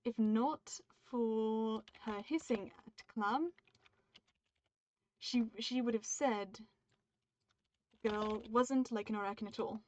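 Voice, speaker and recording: A woman's voice: on the high side, takes it slowly, pauses often. A woman from the UK streaming her writing session, reading her draft aloud and stopping mid-sentence to make edits and chat with viewers. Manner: upbeat and friendly with viewers. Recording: dull, muffled stream mic.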